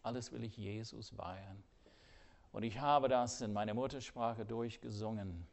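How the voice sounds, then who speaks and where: An older man preaching a sermon earnestly, swinging between loud emphasis and hushed, quiet asides. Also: fairly low pitch, steady tone, normal speed, gravelly.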